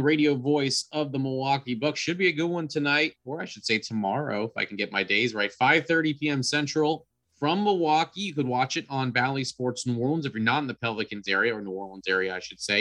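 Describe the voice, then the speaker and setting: A man's voice: mid-range voice, fast, bright, forceful tone. A man hosting a sports interview in a conversational broadcaster's style. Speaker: sports podcast host and team radio announcer.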